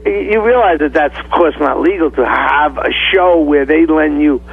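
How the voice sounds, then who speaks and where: A man's voice: fairly high pitch, normal speed, gravelly, husky. A man calling a radio show by phone, questioning skeptically and insistently. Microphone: telephone. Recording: tinny phone line, faint noise.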